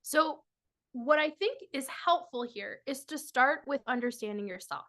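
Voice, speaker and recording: Give me a measured woman workshop presenter, first language Spanish, with a bright, fairly high voice, speaking over a video call. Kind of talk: webinar presentation.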